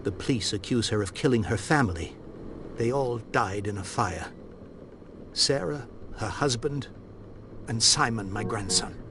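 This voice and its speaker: A man's voice: fairly low pitch, measured pace, noisy background. A man voicing a proud, grieving older industrialist in a video game, speaking formally and sternly. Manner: formal, haughty and pleading. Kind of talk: game voice acting.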